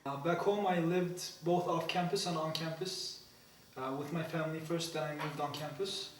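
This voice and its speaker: A man's voice: a little high, fairly even intonation, normal speed, a little hiss or hum. A young man with a Turkish accent, an exchange student answering interview questions hesitantly, with many fillers. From Turkey. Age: young adult. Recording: echoey room, mic at a distance.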